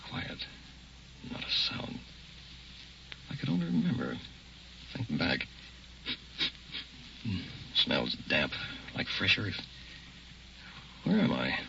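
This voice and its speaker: An actor playing a man waking up buried alive, muttering slowly and confused, in 1940s radio acting style. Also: low voice, creaky, sharp tone, exaggerated voice. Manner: slow, muttering, groggy. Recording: dull old radio recording, faint hiss.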